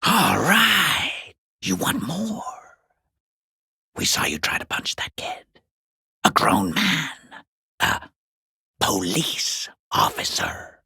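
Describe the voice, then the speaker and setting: A man voicing a foul-mouthed, sarcastic watcher in a video game, taunting in a rough, breathy, creaky half-whisper. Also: fairly high pitch, wildly swooping pitch, sharp tone, no echo, clean studio sound, exaggerated voice. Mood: scornful accusation. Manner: sarcastic, taunting, drawn-out mockery. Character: sneering, foul-mouthed watcher peeking through a harbour gate gap. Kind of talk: video game character voice acting.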